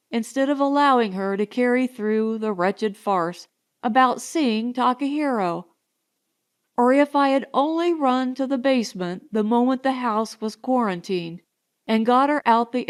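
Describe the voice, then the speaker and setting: A woman's voice: fairly high pitch, clear, resonant tone. A woman reading first-person narration from a comic novel as an audiobook narrator.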